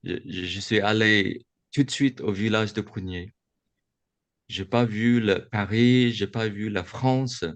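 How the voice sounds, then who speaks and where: A man speaks in a low, unhurried voice, feeling his way through each thought with pauses and restarts. He gives a reflective Buddhist talk over a video call, and the sound is close and dry with no room echo. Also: highs cut off. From United States. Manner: slow, halting, with many restarts and pauses. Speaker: Buddhist teacher speaking to young adults. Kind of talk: reflective dharma talk on a webinar. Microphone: video call microphone.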